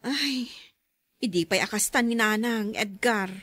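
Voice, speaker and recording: Concerned adult daughter, played by a woman, higher voice, fretful conversational delivery, dry studio-close sound, radio drama. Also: unhurried, a bit bright, no echo. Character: concerned grown daughter, weary of her troublesome mother. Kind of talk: Ilocano radio drama acting.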